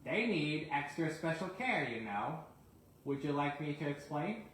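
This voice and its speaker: A man's voice: on the high side, very animated, a little slow, fairly bright tone. A proud, smug steam engine from a children's storybook, read by a man. He is a grumbler who thinks well of himself. The recording is very echoey, with faint noise. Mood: sly. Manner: smug, self-satisfied.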